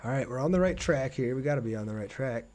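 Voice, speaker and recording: A man's voice: mid-range voice, lively intonation, quick pace, darkish tone. A man doing casual live gameplay commentary as a streamer, chatty, thinking aloud and reading out viewer messages.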